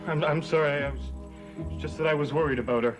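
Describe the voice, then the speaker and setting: A young, devoted but resentful son played by a man in a gothic TV soap. His voice sits fairly high and intense, with clear music underneath on an old soundtrack. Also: steady tone, fast, lightly acted, rough recording. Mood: worried. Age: young adult. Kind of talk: 1960s-70s television soap melodrama.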